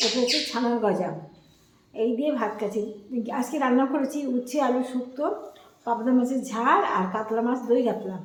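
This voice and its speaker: Higher-pitched woman chatting in echoey room. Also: brisk. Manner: chatty, addresses viewers as friends, repeats herself. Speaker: food vlogger. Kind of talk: casual chat to viewers while eating. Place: indoors at a dining table at home. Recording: echoey room with faint background noise.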